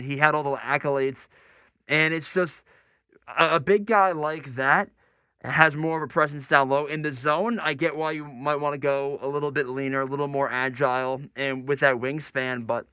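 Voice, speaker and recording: A man's voice: medium pitch, pushed and bright, smooth, dry recording. A man hosting a sports talk show, debating basketball in an insistent, argumentative way.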